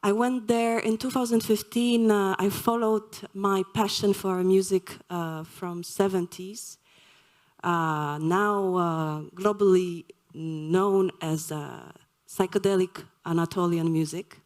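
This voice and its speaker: A woman from Poland giving a live lecture, speaking slowly and hesitantly with many fillers. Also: medium pitch, fairly even intonation, a little mellow. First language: Polish.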